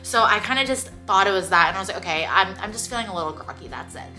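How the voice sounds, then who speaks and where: A woman's voice: medium pitch, expressive, pushed and bright, soft background music. A young woman, chatting to her camera about her own experience in a casual vlog, full of "like" fillers and her voice a little stuffy from congestion. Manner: chatty, many "like" fillers, quick laughs. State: slightly stuffy nose. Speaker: vlogger.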